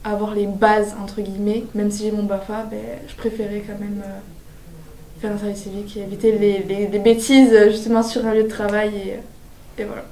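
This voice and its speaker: An eighteen-year-old woman, a civic service volunteer, talks with pauses and repeated words. Her voice stays level and soft. She is recorded from a distance in a roomy space. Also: medium pitch, level tone. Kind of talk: personal testimonial.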